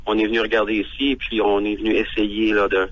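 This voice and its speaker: A man's voice: lowish voice, level tone, fast talker, lo-fi sound, slight background noise. A man from Ontario, a guest on a radio phone interview who talks hesitantly, with frequent fillers.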